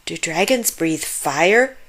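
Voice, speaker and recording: A woman voicing an eager, inquisitive young boy in a storybook, with an excited, exclamatory manner. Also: on the low side, slow pace, heavily acted. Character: eager young boy full of wondering questions. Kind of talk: storybook character voice.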